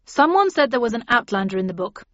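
A woman's voice: a little high, big pitch swings, fast talker, fairly bright tone, dry recording, lo-fi sound. A woman voicing a suspicious, nosy uniformed male police officer in an audiobook, blunt and questioning.

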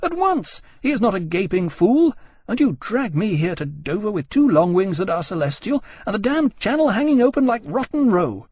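Man playing a furious, high-pitched woman officer. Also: big pitch swings, moderate pace, no echo. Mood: shouting, outraged. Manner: swearing, sarcastic, indignant shouting. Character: furious sharp-tongued woman naval officer, defiant and scornful.

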